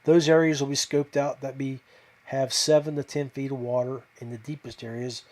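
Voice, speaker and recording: A man hosting a podcast, talking casually and off the cuff to viewers, with hesitant pauses and a modest, self-deprecating manner. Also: medium pitch, flat delivery, a little mellow. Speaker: podcast host. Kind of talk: casual fishing talk to viewers.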